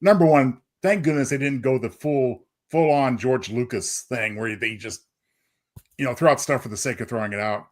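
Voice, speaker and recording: A man's voice: mid-range voice, moderate pace. A man co-hosting a podcast, talking in a casual, analytical way. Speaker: podcast co-host.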